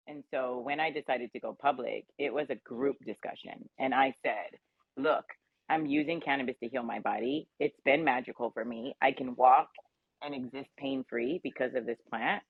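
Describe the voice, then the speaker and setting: An assertive American woman tells personal stories in a candid, emphatic style. Her voice is husky with plenty of fry. It comes through thin, phone-like audio. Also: a bass voice. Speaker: round table guest, cannabis advocate. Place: remote audio chatroom call. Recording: roomy, tinny phone-like audio.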